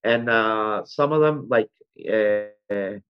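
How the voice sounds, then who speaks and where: A man in his forties from Venezuela, speaking in a fairly low voice as an interview guest. He tells his story loosely, with plenty of ums and restarts. The sound is dull and lo-fi. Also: slow, clean tone. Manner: rambling, frequent ums and self-corrections. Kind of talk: conversational interview.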